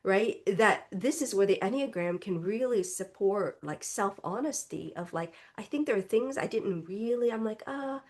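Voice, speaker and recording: A woman reverend gives a slow, contemplative spiritual talk in a congested, stuffy voice. She speaks gently and reflectively, and often adds a soft "right?" as she explains. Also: mid-range voice, animated. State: congested, recovering from illness.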